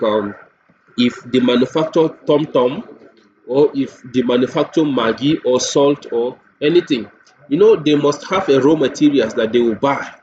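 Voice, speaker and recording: A man with a Nigerian accent giving a software tutorial walkthrough, explaining step by step and repeating points with everyday examples. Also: mid-range voice. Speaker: software demonstrator. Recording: dull lo-fi mic with faint noise.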